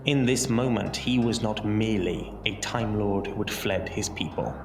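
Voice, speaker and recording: Low-voiced male storyteller in a roomy echo. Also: normal speed, noisy background. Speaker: narrator. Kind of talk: dramatic scripted storytelling narration. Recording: roomy echo under music. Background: music.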